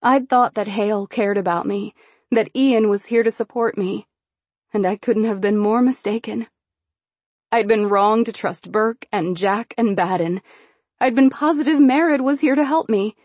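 A woman narrating a first-person novel in a measured storytelling manner. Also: medium pitch, a little mellow, dry recording. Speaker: audiobook narrator.

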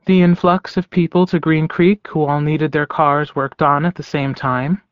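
A serene, knowing werewolf mother as a male narrator puts her on speaks in a lifted pitch. She is calm and gently probing, with deliberate phrasing, in an audiobook story with a dry sound. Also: smooth, no echo, lo-fi sound, close to an everyday voice. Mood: gentle probing.

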